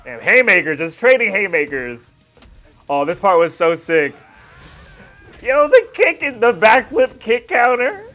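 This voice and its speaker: A man's voice: sits high, very animated, unhurried, sharp tone. Enthusiastic man reacting over background music. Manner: enthusiastic fan talk with slangy asides. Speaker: reaction video host. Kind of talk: casual reaction commentary.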